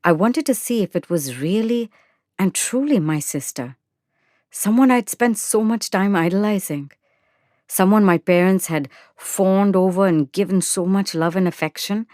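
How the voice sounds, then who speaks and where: A woman narrating a first-person short story in an expressive, measured, dramatic way. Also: mid-range voice, dry recording, studio quality. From India. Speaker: narrator and actor.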